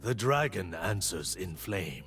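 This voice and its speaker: A man playing a disciplined, resolute blind warrior monk for a video game, speaking in solemn, measured aphorisms. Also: sits low, expressive, a bit bright, breathy edge, soft background music, heavily acted. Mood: disciplined, determined.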